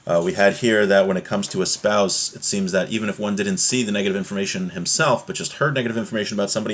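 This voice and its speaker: A man giving an informal religious lesson as a teacher, reading aloud and explaining each point step by step. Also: low voice.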